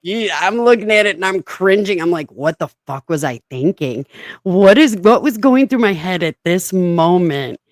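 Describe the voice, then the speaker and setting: A woman's voice: fairly low pitch, lively intonation, normal speed, no room sound, studio quality. A woman with a smoky voice chatting casually as a podcast host, self-mocking and laughing often. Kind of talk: casual chat.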